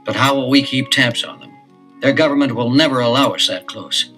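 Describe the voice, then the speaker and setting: An actor playing a cautious, authoritative detective father who speaks in a measured way. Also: medium pitch, bright, forceful tone, soft background music, natural voice. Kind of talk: 1970s children's audio drama.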